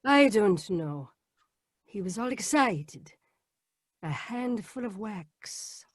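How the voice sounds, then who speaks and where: A woman's voice: medium pitch, lively intonation, takes it slowly, dry recording, studio quality. A woman playing a frightened, evasive Italian landlady with an Italian accent in a radio mystery. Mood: nervous, confiding. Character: frightened, evasive Italian rooming-house landlady, big and motherly. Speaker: actress in a radio mystery performance. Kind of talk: 1940s radio drama acting. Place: home, live video call performance.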